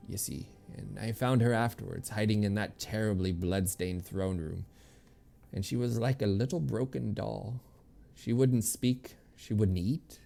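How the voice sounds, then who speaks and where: A kindly old nursemaid, as acted out by a man reading a story, wistful and fond. The voice is creaky and mellow, low for a narrator, with music playing behind it. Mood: sorrowful.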